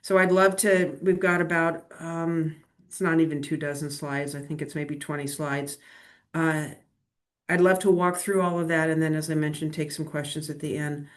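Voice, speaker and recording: A woman administrator explaining agency events to an advisory council at a meeting, in a measured way with many fillers, speaking into a laptop in a meeting room. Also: deep voice, steady tone. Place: meeting room, same room as a colleague. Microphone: laptop microphone.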